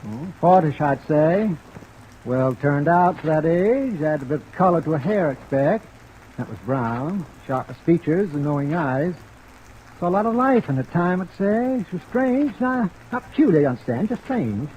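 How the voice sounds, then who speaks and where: An actor playing a plain-spoken rural convalescent home keeper in an old-time radio drama, dry, clipped and countrified. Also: male voice, fairly high pitch, lively intonation, normal speed, darkish tone, dull audio, lightly acted. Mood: musing. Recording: old radio recording under rain noise.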